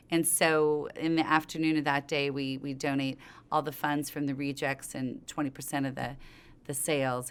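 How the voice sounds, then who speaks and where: A middle-aged woman with a low, smooth, clear voice, talking as a business founder in an interview. She speaks conversationally, telling things informally and looping back to earlier thoughts as she goes. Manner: informal, rambling, circles back mid-thought. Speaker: company co-founder being interviewed.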